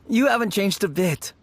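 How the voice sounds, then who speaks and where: A man voicing a kindly elderly villager in a video game, husky and fondly reminiscing, with music behind. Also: high voice, a little hiss or hum, full character voice. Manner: fond, reminiscing.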